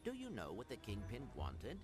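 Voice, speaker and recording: An actor playing an earnest, polite little robot secret agent who speaks formally and precisely in a video game. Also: male voice, lowish voice, wildly swooping pitch, normal speed, music in the background. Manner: formal, precise, old-fashioned wording. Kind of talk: video game cutscene acting.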